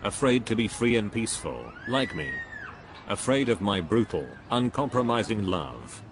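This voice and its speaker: A man's voice: fairly low pitch, big pitch swings, moderate pace, noisy background. A man playing a smug, joyless spiritual know-it-all who preaches in a pompous, dismissive way in scripted cartoon dialogue. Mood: grandiose, preachy.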